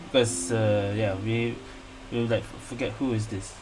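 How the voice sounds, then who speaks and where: A woman's voice: very deep voice, fairly even intonation, a little slow, rough recording. A woman giving casual, hesitant, musing commentary while playing a video game. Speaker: gaming streamer. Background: game music.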